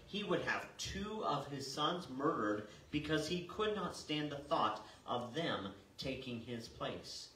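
An older man preaching a sermon as a pastor, reading scripture and explaining it in a measured, emphatic way. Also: medium pitch, fairly bright tone. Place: small church sanctuary, indoors. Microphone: far camera. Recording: roomy, distant mic, faint noise.

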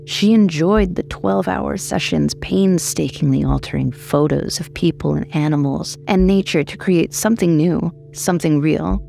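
A woman narrates horror fiction. Her voice sits very low with a lot of fry, wry and dramatic, over faint music. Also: moderate pace, hardly any pauses, mellow.

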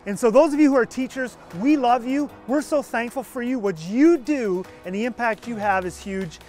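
High-voiced energetic pastor outdoors. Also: male voice, moderate pace, soft background music. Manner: energetic, gesturing, earnest. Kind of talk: enthusiastic church video address. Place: outdoors beside a building. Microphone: lapel mic.

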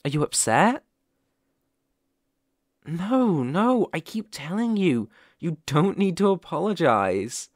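A man's voice: a little high, sing-song, takes it slowly, long pauses, dry recording, clean studio sound, full character voice. A man playing a caring, worried boyfriend in an ASMR roleplay, talking in a soothing, tender, reassuring way. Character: caring, worried boyfriend fussing tenderly over sick partner.

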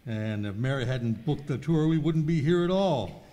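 A man's voice: mid-range voice, lively intonation, normal speed, dark tone, natural voice. A man playing a wry, grumbling stranded tourist in a radio skit. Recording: echoey hall with noise.

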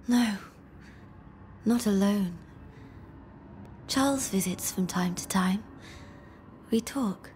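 Woman acting a slow, dreamy, fragile lonely woman. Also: mid-range voice, a little mellow, slight background noise, natural voice. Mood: vague, wondering. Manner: wistful, drifting, halting. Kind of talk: dramatic game voice acting.

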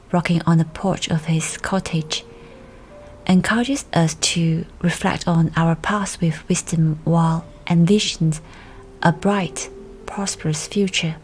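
Slow, low-pitched woman guiding meditation. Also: fairly even intonation, a little mellow, a little hiss or hum. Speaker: guided meditation narrator. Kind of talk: scripted guided meditation. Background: music.

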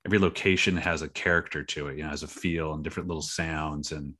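A man talking casually as an interview guest, a writer explaining his project in a halting way. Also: extremely low pitch, fairly even intonation, pushed and bright. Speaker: podcast guest, writer and creator.

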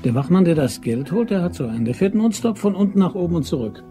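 Shifty, mellow-voiced male robbery plotter. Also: high voice, big pitch swings, fast talker, soft background music. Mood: matter-of-fact. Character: shifty man plotting a robbery, evasive, smooth-talking. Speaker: actor. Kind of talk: German crime radio play acting.

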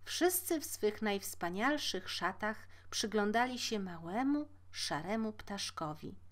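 Slow-paced woman storyteller. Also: medium pitch. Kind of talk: scripted fairy-tale narration.

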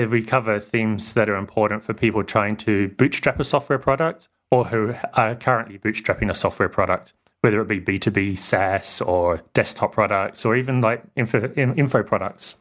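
A man from New Zealand with a mixed New Zealand and Australian accent, speaking calmly as a podcast guest with dry humor. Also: lowish voice, moderate pace. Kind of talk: casual conversational interview.

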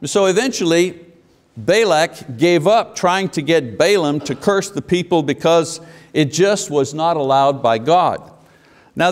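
A man in his fifties preaching a sermon at a church pulpit, animated and asking the congregation questions. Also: on the high side. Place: church sanctuary at a wooden pulpit. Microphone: lapel mic. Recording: long hall reverb.

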